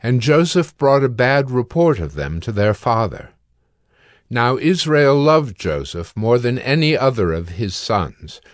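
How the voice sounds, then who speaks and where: A man narrating dramatized scripture in a measured storytelling manner. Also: medium pitch, no echo, studio quality. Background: background music.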